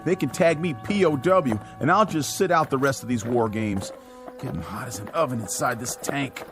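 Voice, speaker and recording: An actor playing a nervous, earnest young soldier. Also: male voice, mid-range voice, moderate pace, over music, voice pushed down, natural voice. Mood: anxious. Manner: anxious, earnest.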